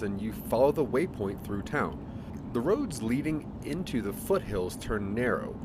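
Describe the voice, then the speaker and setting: A man narrating a scripted second-person adventure story in a dramatic, deliberate storytelling manner. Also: medium pitch, background noise. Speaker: narrator of a branching audio drama.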